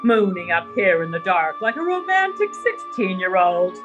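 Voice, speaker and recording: An actress playing a snobbish, scolding older aunt in a prim, fretful manner, in 1930s radio drama style. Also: female voice, medium pitch, animated, normal speed, lightly acted. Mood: impatient, fretful. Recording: 1930s radio recording with music.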